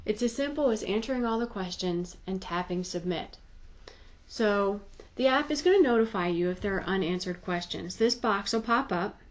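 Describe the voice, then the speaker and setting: A woman's voice: mid-range voice, expressive, normal speed. A woman giving a conversational, explanatory tutorial voice-over in a plain-spoken, instructive manner. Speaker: tutorial presenter.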